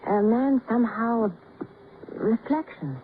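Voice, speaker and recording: An actress playing a melancholy young woman with a foreign accent in an old radio crime drama, speaking in formal, deliberate, unidiomatic English. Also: a little high, expressive. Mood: earnest, melancholy. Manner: formal, deliberate, non-native phrasing. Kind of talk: 1940s-50s radio acting. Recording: muffled, faintly noisy old radio broadcast.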